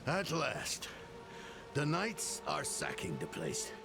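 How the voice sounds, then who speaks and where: An actor playing a solemn, battle-worn scholar ally with a gravelly voice, in a dark fantasy video game. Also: male voice, a little high, huge pitch swings, slow, over loud music, heavily acted. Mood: grim.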